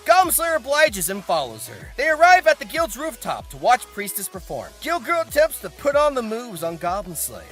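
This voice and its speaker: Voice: medium pitch, wildly swooping pitch, moderate pace, hardly any pauses, fairly bright tone, soft background music. A host giving theatrical, comedic scripted narration about anime, with exaggerated mock outrage and playful asides. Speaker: host of anime video essay.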